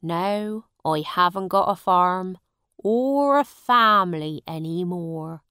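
A woman voicing a poor male farmer who slowly tells the sad story of his lost family. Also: fairly low pitch, smooth, no room sound, studio quality. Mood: sorrowful. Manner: slow, heavy storytelling.

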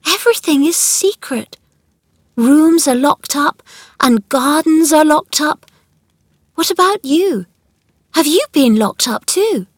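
A woman's voice: high voice, lively intonation, slow, pauses often, no echo, clean studio sound, pitched above the performer's own voice. A woman voicing a bold, curious young girl in a children's storybook, forthright and inquisitive.

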